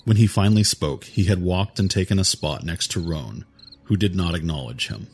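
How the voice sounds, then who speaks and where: A man narrating an audio drama in a measured, even storytelling manner. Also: low-pitched, a little hiss or hum. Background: music score.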